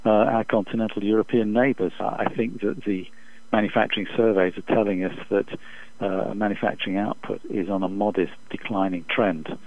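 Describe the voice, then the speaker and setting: A man speaking as an expert economist in an interview over the phone, giving measured, formal, halting analytical answers. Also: lowish voice, steady tone, no room sound. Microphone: telephone. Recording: dull, muffled phone interview line.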